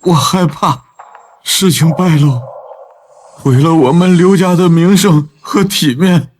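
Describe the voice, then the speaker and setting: A man's voice: fairly high pitch, takes it slowly, a little mellow, slight background noise. A man playing an older village chief in a drama, guilty and cowardly, with a pleading, faltering delivery. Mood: guilty, broken confession. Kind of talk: dramatic audio drama acting.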